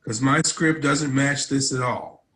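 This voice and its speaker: A man's voice: medium pitch, unhurried, fairly bright tone, roomy sound, low-quality recording. A man taking part in a script table read, talking casually and politely.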